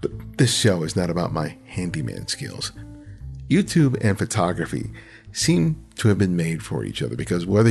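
A man's voice: low voice, creaky, a little mellow. A man hosting a photography interview podcast, chatty, with restarts when he asks questions. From United States. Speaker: podcast host. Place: home studio, Southern California.

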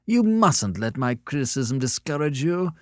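A man's voice: mid-range voice, sing-song, unhurried, no echo, studio quality, voice pushed up. A man voicing a pompous, easily offended male artist in an audiobook story, talking with gracious condescension. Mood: patronizing.

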